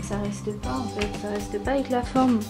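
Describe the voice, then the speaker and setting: A young woman in a casual voice-over, explaining each step of a craft toy in a relaxed way with small fillers. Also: mid-range voice, moderate pace, over loud music. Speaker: toy review YouTuber.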